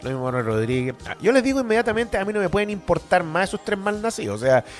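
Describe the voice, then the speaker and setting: A man's voice: sits high, sing-song, fast, faint music behind. A man with a Chilean accent hosting a radio history show, lecturing informally in a blunt, opinionated, colloquial way full of rhetorical and tag questions.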